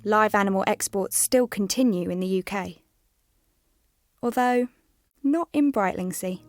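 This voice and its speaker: A woman's voice: medium pitch, measured pace, no room sound, natural voice. A woman reading a factual scripted epilogue as the narrator of an audio drama. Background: music with guitar.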